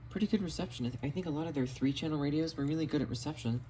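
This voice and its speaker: A man's voice: on the high side, racing, mellow, low-quality recording. A man casually showing and explaining his radio finds in a matter-of-fact way, recorded on a cell phone in a basement. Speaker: radio collector presenting finds. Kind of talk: casual show-and-tell vlog. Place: home basement. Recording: audible background noise, phone recording.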